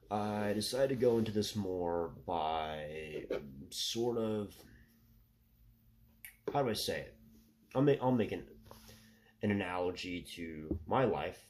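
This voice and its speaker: A man in his thirties talking through a rambling casual monologue with a stuffy nose, filling every pause with "you know" and "right". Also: low voice, a little mellow. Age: 30s. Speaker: solo commentator.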